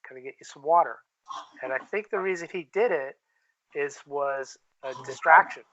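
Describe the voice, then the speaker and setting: A man talking casually as an interview guest, candid and laid-back, with plenty of slang, on low-quality audio. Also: moderate pace, bright, forceful tone, slight background noise. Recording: dull lo-fi call-quality audio.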